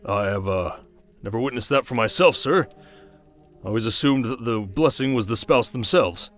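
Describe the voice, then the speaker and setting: A man playing a courteous, protective young king and tender husband in an ASMR fantasy roleplay, polite and measured. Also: medium pitch, lively intonation, faint music behind, lightly acted. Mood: polite, curious.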